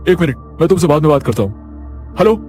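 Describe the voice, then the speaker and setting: A man's voice: a little high, lively intonation, quick pace, darkish tone, soft background music, voice pushed up, natural voice. A man voicing an angry, domineering husband and hospital boss, scolding and insistent. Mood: urgent, agitated.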